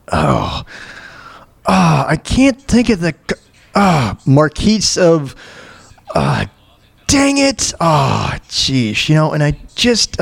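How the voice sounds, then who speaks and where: A man's voice: on the high side, gravelly, dry recording. A man hosting a casual talk show, reacting in a folksy way and often groping for words and repeating himself. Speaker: show host.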